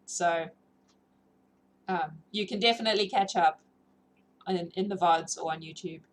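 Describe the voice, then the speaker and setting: A woman's voice: on the low side, measured pace. A woman with a mixed South African, British and New Zealand accent chats informally and gigglily as the host of a book-reading livestream. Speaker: livestream host who reads novels aloud. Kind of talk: casual livestream chat with viewers. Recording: clearly noisy room ambience.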